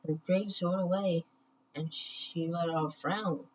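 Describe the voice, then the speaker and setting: A woman reading a children's story aloud in measured short phrases with pauses, on a muffled, noisy recording. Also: fairly low pitch, steady tone, darkish tone. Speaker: children's book reader.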